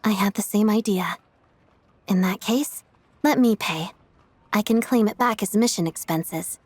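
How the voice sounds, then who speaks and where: An actress voicing a poised, principled young dancer and officer in a game dub, composed, polite and businesslike. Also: female voice, medium pitch, unhurried, darkish tone, husky. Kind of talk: English game dub dialogue.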